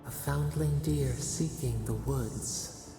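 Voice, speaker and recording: A performer voicing an ancient, otherworldly spirit in a video game, speaking solemnly and cryptically in slow, halting phrases as a collective we, with a gravelly, echoing voice. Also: medium pitch, noisy background. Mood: brooding, solemn. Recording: cavernous reverb, music underneath.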